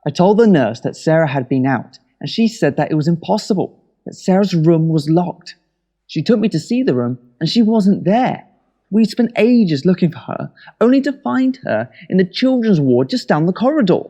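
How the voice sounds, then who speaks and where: British man, exasperated, worn-out husband, slightly high, warm tone, naturalistic radio play. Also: big pitch swings, normal speed, heavily acted. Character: weary, exasperated husband of a patient. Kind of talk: naturalistic audio drama acting.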